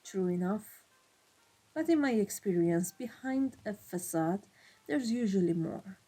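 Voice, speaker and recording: A woman playing a cold, commanding vampire mafia boss in an ASMR roleplay, speaking in a deliberate, imperious way. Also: mid-range voice, expressive, mellow, breathy edge. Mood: intrigued, probing. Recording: music behind the voice. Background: classical background music.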